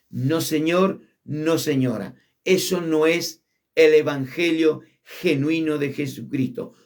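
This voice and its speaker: Argentine pastor, higher-pitched man, emphatic sermon delivery, slows and drops for stress. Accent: Argentine Spanish. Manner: emphatic preaching, drops low on key words, shifts between quick and drawn-out phrasing.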